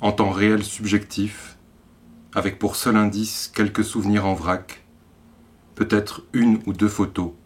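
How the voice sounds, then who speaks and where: A man with an accent from France reads literary poetry in a measured way, in short phrases set apart by deliberate pauses. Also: lowish voice, little pitch movement. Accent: French from France. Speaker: poet reading own work.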